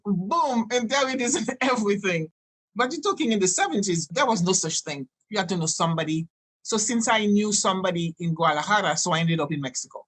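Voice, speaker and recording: A woman in her sixties from Haiti, a native French and Creole speaker with a Haitian accent, telling personal stories in a halting, digressive way as a podcast guest. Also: mid-range voice, breathy edge. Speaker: podcast guest, doctor and healer. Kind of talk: conversational personal storytelling.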